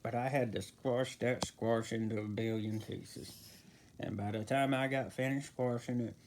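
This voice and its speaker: Man acting comic old male storyteller, folksy, rambling, repetitive, flustered, clearly noisy clicking recording. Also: mid-range voice, steady tone, darkish tone, lightly acted. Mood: folksy storytelling. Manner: rambling, halting, folksy, repeats himself. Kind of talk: comic tall-tale storytelling.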